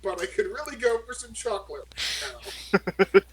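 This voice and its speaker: A man's voice: high-pitched, moderate pace, slight background noise, rough recording. A man playing a tabletop game, jokingly describing what his character does in casual chat.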